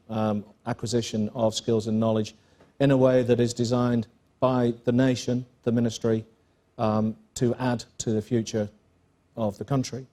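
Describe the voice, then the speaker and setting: English man, middle-aged, school principal type, mellow and measured, dry wit. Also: mid-range voice. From England. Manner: measured, explanatory, dry jokes. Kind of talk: panel interview on stage. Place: conference stage.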